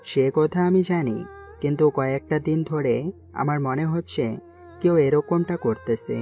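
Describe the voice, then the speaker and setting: A man playing a calm, calculating mafia king who gives measured orders in an audio story. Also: on the high side, lightly acted. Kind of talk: amateur Bengali audio-story acting.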